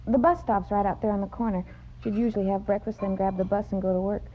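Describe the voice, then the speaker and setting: A woman acting as a chatty American waitress in a vintage radio crime drama. She speaks at a medium pitch, frank and matter-of-fact, on a muffled old recording. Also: steady tone, quick pace, slight background noise, natural voice. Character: female coffee shop waitress, chatty, frank, helpful.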